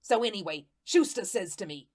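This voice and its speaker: A woman's voice: mid-range voice, lively intonation, normal speed, darkish tone, close to an everyday voice. A woman playing a naive, lovelorn male ghost who narrates his story in folksy, slangy talk, in the style of a radio play. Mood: chatty, guileless. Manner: folksy, colloquial, guileless storytelling.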